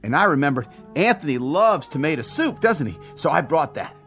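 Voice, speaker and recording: Stammering, fawning delivery man, swooping pitch. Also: very animated, fairly quick, a bit bright, faint music behind. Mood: anxious, friendly. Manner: stammering, placating, nervously over-agreeable. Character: frightened, fawning small-town grocery delivery man. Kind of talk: audio drama acting.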